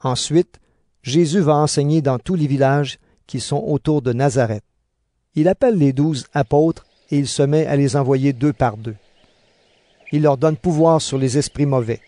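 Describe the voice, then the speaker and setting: A man giving scripted narration for an audio Bible drama in a measured storytelling manner. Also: on the high side, warm tone, dry recording, clean studio sound. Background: background music.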